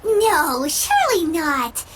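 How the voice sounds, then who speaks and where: A woman's voice: extremely high pitch, wildly swooping pitch, slow, heavy background noise, heavily acted. A woman voicing a small, chirpy, childlike fairy companion in a video game, speaking in exclamations. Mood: pondering. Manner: refers to self in third person, exclamatory. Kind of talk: video game character dialogue. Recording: loud background music.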